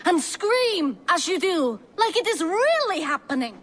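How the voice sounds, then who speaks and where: Casting: bold, earthy Viking wife, slow and high, game drama. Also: female voice, sing-song, very noisy, exaggerated voice. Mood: excited, lusty. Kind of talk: video game acting.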